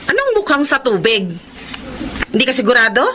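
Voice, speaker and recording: A woman playing a middle-aged mother in a radio drama, kind and patient but firm and quick to scold. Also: on the high side, big pitch swings, noisy background. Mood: puzzled, brisk. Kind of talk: Tagalog radio drama acting.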